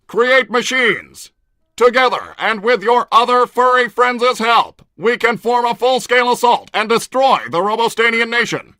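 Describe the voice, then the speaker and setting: Calculating cartoon robot, high sharp voice, stiff, formal, emotionless, menacingly deliberate. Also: male voice, clear, resonant tone, dry recording, exaggerated voice. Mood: coldly warlike. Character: cold, calculating, war-hungry robot. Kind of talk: improvised in-character conversation.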